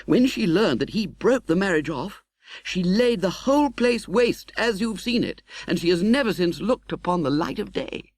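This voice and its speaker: A man playing a genteel, candid, cheerfully optimistic young gentleman in an audiobook, chatty and slightly hesitant. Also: high voice, normal speed, dry recording, pitched above the performer's own voice. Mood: earnest, confiding. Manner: animated, chatty, hesitating with little 'uh's. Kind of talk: audiobook character acting.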